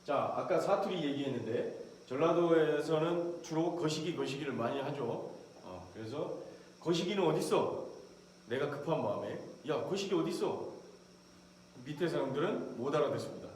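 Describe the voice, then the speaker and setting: A middle-aged male professor gives a lecture in a steady, explanatory way and raises his voice for quick quoted orders. His voice rings around a very echoey room. A faint hiss runs under it. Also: on the high side. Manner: explanatory, acts out short soldier quotes with a raised voice. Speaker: professor lecturing on military leadership. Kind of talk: recorded online lecture.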